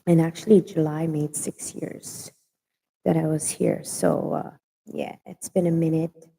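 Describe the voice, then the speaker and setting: A woman, hosting a casual live-stream chat, halting with many fillers and restarts. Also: low-pitched, flat delivery, gravelly, dark tone, slightly rough, big-room sound, rough recording. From Hawaii. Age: late 40s.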